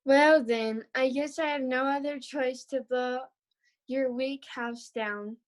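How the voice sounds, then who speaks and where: A woman's voice: high-pitched, steady tone, slow. A woman voicing a huge, aggressive storybook wolf in an amateur script read, with muffled call audio. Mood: menacing.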